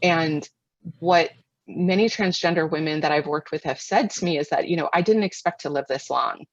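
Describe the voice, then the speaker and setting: An American woman answering webinar questions as a guest expert, in a measured, earnest, explanatory way. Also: low-pitched. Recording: muffled lo-fi webinar audio, roomy echo.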